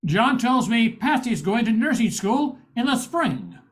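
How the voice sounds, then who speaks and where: An older man playing a rough-edged but good-hearted private eye in an old-time radio drama. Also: very high voice, big pitch swings, unhurried, heavily acted. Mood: hearty. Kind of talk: 1940s radio acting.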